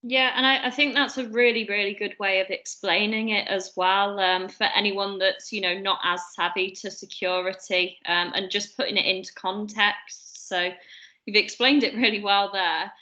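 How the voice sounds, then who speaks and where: A woman's voice: mid-range voice, fairly even intonation, normal speed, bright, forceful tone, highs cut off. A woman hosting a business interview, chatty with lots of fillers. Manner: chatty, many fillers and hedges. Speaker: host, recruiter.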